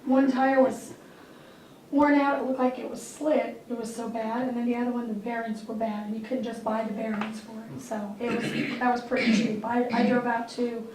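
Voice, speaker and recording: A woman with a squeaky voice and a fairly high, flat, mellow delivery reads through an airport manager's report at a board meeting. She is picked up by a distant mic in an echoey meeting room, with faint background noise. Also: normal speed. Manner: matter-of-fact, clears throat often.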